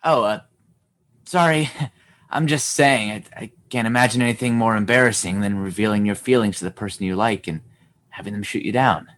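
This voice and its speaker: Huxley: nosy, excitable boy with a mid-pitched voice, teasing and a bit cruel, radio comedy, acted by a performer. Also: fairly quick, natural voice. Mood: sheepish. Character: eager, gleefully mean, nosy boy Huxley. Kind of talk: comedy radio theatre acting.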